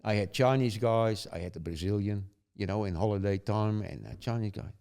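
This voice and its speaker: A man's voice: on the low side, brisk, creaky, a little mellow, clean tone. An older man from the Netherlands with a Dutch accent, telling stories in casual chat, chuckling often and rambling with tag questions. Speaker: guest.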